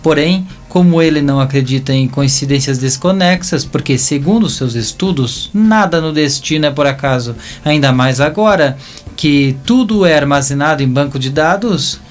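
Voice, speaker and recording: A man's voice: on the high side, expressive, normal speed. A man with a Brazilian accent narrating a scripted story dramatically, as in an audio drama. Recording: music bed behind narration.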